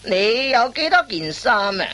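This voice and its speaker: Slow, swooping veteran master thief. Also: female voice, a little high, big pitch swings, sharp tone, full character voice. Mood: fussy, advising. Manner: instructive, drawn-out phrasing full of interjections. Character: wily veteran master thief schooling an apprentice. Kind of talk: Cantonese comic radio play acting.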